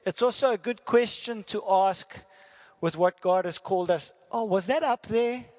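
A man's voice: high voice, normal speed. Visiting South African missionary preacher, man, friendly, jokes, quizzes the congregation. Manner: friendly, jokey, asks the congregation questions. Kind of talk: sermon with prayer. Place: church hall. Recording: church hall reverb.

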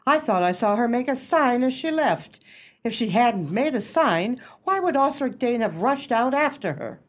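A fussy society lady who runs a club, played by a woman in radio drama style. Her voice is fairly high and very animated. She talks with suspicious, gossipy emphasis. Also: moderate pace. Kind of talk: radio play acting.

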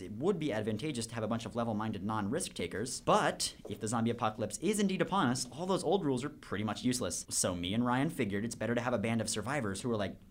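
A man's voice: medium pitch, close to an everyday voice. A young male actor playing a nerdy, pedantic homeschooled teenager in a radio drama, lecturing matter-of-factly. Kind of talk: youth radio drama acting.

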